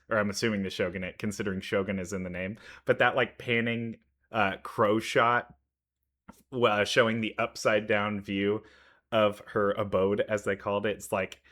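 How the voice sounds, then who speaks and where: A man's voice: fairly low pitch, fairly bright tone. A man giving casual reaction commentary, thinking aloud with analytical asides. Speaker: reaction video host.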